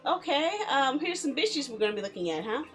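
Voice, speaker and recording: A woman streaming a game in a casual, expressive, chatty way, reading story text aloud and reacting to it. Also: high voice, fairly quick. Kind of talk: casual live let's play, reading visual novel text aloud. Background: background music.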